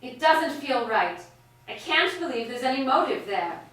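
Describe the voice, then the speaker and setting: A woman's voice: sits high, big pitch swings, moderate pace, a bit bright. A woman playing a troubled, brooding leader of a crime-fighting team in a live radio play. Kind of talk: live radio theatre acting. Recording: very echoey, distant stage pickup.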